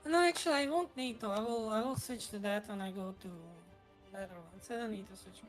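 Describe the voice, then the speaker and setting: A woman's voice: mid-range voice, a little fast, faint music behind. A woman from Croatia chatting casually as a streamer while playing a strategy game, rambling a little with dry humour. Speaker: gaming streamer.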